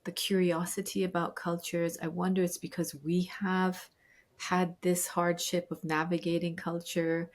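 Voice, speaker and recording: A woman's voice: on the low side, little pitch movement, a little slow. A woman hosting a leadership podcast, asking curious, reflective questions and often restarting her sentences as she thinks. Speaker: podcast host. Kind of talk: interview conversation.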